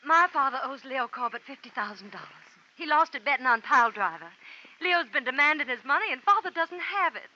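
A woman's voice: high-pitched, lively intonation, a little fast, bright, forceful tone, heavily acted. A woman playing an earnest, pleading young daughter in a 1940s radio drama. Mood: anxious, earnest. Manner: earnest, imploring. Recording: muffled vintage radio recording.

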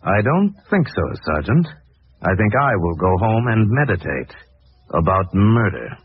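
An actor playing a composed, learned crime fighter, grave and courteous, in measured 1940s radio acting. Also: male voice, lowish voice, very animated. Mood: thoughtful. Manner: measured, authoritative, formal. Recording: tinny old radio broadcast, faint hiss.

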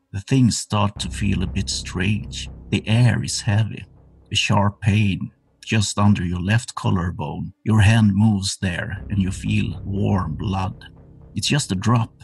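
A man's voice: fairly low pitch, steady tone, a little slow, vocal fry, darkish tone, husky. A man working as game master, narrating a horror tabletop roleplaying game in the second person.

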